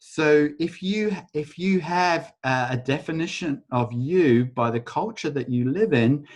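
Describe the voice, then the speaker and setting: A man leading a live online workshop over a video call, talking in a casual, rambling way and softly chanting a repeated phrase. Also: a little high, measured pace. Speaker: workshop facilitator.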